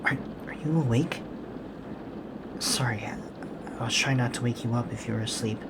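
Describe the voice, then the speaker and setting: A man playing a gentle, guilt-ridden serial killer boyfriend and father in an intimate ASMR roleplay, speaking softly and hesitantly, with apologies. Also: mid-range voice, heavy background noise, lightly acted. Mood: apologetic, caring. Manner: hesitant, halting, apologetic, soft-spoken.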